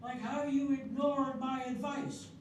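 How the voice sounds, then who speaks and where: An older man reading the part of a stubborn, opinionated elderly man in an amateur readers theatre piece, slow and plainspoken. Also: extremely high pitch, little pitch movement. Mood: bickering. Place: senior center hall. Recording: echoey hall from far off, noisy room.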